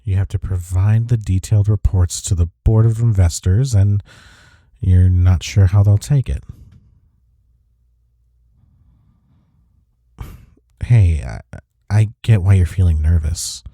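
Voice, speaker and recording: Man acting as caring gruff workplace rival, audio roleplay, husky, soft-spoken, intimate, close dry sound. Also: deep voice, normal speed, no room sound. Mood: attentive, gently teasing. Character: gruff but caring male office rival, secretly admiring.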